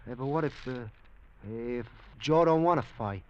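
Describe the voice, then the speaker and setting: An actor playing a nervous, slangy, streetwise American sidekick in a 1940s radio drama. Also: male voice, mid-range voice, normal speed, close to an everyday voice. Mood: wary. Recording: dull, noisy old radio recording.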